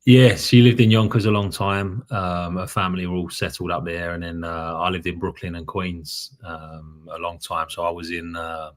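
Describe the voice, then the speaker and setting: A man, a head coach, answering interview questions in a measured, steady way. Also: low-pitched. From from abroad, later lived in New York. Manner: measured, steady, with frequent "um" and "I think".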